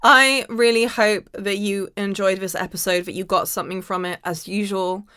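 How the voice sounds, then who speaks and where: Female podcast host, mid pitch, slightly hoarse, emphatic motivational delivery, dry recording. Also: steady tone, moderate pace, pushed and bright, no room sound. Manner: emphatic, repeats key phrases. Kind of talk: motivational spiritual monologue to listeners.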